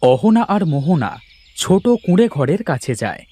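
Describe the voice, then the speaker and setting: Male cartoon narrator: higher-set voice, animated storytelling, dry studio sound. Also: normal speed, breathy edge, no echo.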